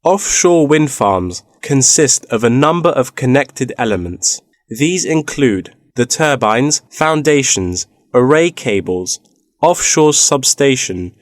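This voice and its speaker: An actor plays a knowledgeable man in a scripted listening-test dialogue. His voice sits at a medium pitch, with a measured, informative delivery. The recording is dry, with no room sound. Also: animated, dry recording. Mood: explanatory. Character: knowledgeable man explaining wind farm technology.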